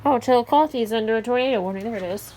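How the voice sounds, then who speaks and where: A woman's voice: on the high side, fast talker, dark tone, a little hiss or hum, poor audio. A woman talking casually as she narrates an amateur weather vlog.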